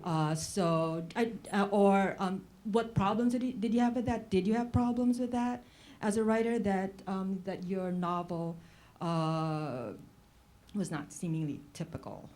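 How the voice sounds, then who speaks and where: A woman's voice: medium pitch, measured pace, darkish tone. A woman moderating an informal literary conversation, digressive and emphatic, restating her phrases as she works toward a question. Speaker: panel moderator, writer.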